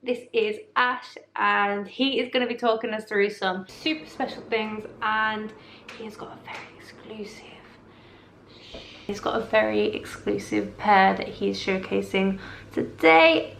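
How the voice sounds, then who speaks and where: A woman's voice: medium pitch, a little slow, creaky, fairly bright tone. A woman hosting a casual, upbeat interview. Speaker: host interviewing a guest. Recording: roomy echo, faint background noise.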